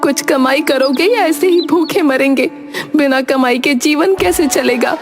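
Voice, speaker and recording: A woman voicing a sharp-tongued young village wife and mother with a dramatic, plaintive manner in an animated folk tale. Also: high-pitched, measured pace, pitched above the performer's own voice. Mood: scolding. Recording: music behind the voice.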